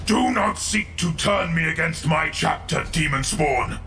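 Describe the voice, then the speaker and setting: A man's voice: a little high, animated, a little slow, vocal fry, music in the background. A man playing a tormented, defiant warrior chaplain in an audio drama, declaiming with solemn, anguished force.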